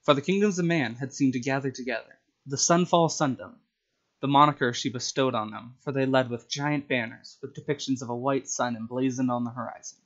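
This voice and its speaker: A man with a medium-pitched voice hosts a casual story-time livestream. His microphone sounds a little dull and lo-fi, and his delivery is that of someone sharing his own writing with viewers. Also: fairly quick. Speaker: streamer reading own short stories.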